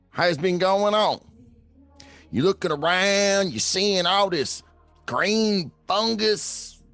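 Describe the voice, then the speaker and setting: A folksy redneck preacher with a Southern American drawl, pitched high and swinging widely as he rants from the pulpit. His fiery sermon unfolds slowly, with faint music behind it. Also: a bit bright, heavily acted. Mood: folksy preaching. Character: folksy, ranting backwoods preacher man. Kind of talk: sermon parody.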